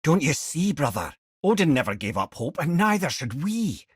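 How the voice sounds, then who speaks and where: A clever old Scottish storyteller, the talking head of a wise man in a fantasy game. The delivery is theatrical and folksy, with wry humor and pitch that swings widely. The sound is dry, with no room around the voice. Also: very animated, moderate pace, husky, no echo, exaggerated voice. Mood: hopeful, urgent. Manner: wry, theatrical, folksy, addresses listeners as brother and lad. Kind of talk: expressive video game character acting, storytelling and banter.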